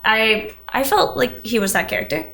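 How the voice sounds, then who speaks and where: A woman's voice: on the high side, expressive, moderate pace, bright, forceful tone. A woman on a podcast panel, chatting casually about films. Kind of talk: casual film discussion. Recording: echoey room with faint noise.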